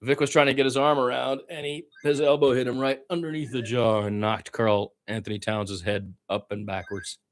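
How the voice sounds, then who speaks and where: A man's voice: medium pitch, steady tone, moderate pace, hardly any pauses. A man giving informal radio-style basketball play-by-play, with blunt, conversational and opinionated asides. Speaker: play-by-play sports commentator.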